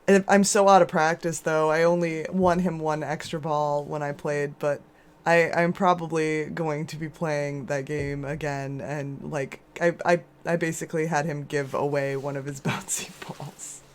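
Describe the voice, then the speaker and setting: A woman streaming and chatting casually with viewers, with a hoarse, scratchy voice from a sore throat and a rambling, chatty way of talking. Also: flat delivery, a little slow. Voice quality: lower and scratchier than usual. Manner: rambling, chatty, with frequent restarts and laughs. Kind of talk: casual chat while gaming.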